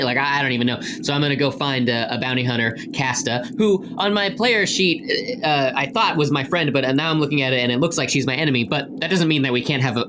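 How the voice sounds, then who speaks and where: A man playing a tabletop roleplaying game, talking casually and haltingly as he improvises. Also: medium pitch, background noise. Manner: halting, conversational.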